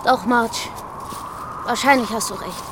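An actress playing a wistful, coaxing young daughter with a dreamy manner in a radio drama. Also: female voice, a little high, measured pace, natural voice. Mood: hurt, subdued. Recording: stormy wind noise behind voice.

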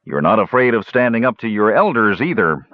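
A man voicing a stubborn older dwarf uncle in a fantasy audiobook, gruff but fond, with folksy, teasing scolding. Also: lowish voice, sing-song, brisk, no room sound, narrow, tinny audio, pitched above the performer's own voice. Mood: amused, fond. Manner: old-fashioned folksy speech, scolding and teasing.

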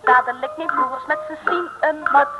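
A man's voice: very high-pitched, normal speed. A man performing a playful singing storyteller in an old radio serial, in singsong rhyme. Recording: dull old recording, loud music.

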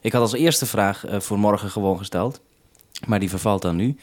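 A man's voice: fairly low pitch, normal speed. A man talking unscripted as a radio host over a two-way link, businesslike and explanatory with some hesitation.

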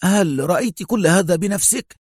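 Casting: man as authoritative male consul in an audio thriller, sceptical, firm, pitched up, very animated. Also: brisk, breathy edge, no echo, clean studio sound, exaggerated voice. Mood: stern, incredulous. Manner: firm, incredulous, emphatic.

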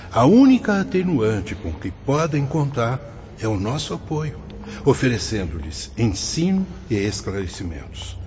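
A man's voice: mid-range voice, slight background noise. An actor playing a solemn, benevolent spirit director in a Brazilian radio drama, speaking in an oratorical, fatherly way. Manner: oratorical, fervent, paternal. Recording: dull lo-fi radio drama, loud music behind.

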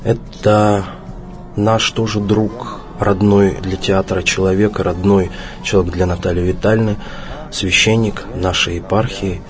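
A man presenting a solemn radio program as host, in a measured, formal way with deliberate phrasing. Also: lowish voice, level tone. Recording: music behind the voice. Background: background music.

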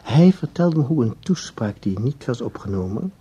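An actor playing an earnest man explaining himself in a radio drama, with a very husky voice. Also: mid-range voice, very animated, moderate pace, dark tone. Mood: insistent.

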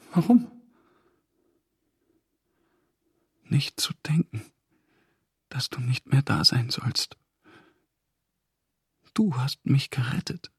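An actor playing a melancholy German male teacher in a radio drama, with a halting, brooding manner. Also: mid-range voice, darkish tone, slightly rough, exaggerated voice. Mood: stunned grief. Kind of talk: literary radio drama acting.

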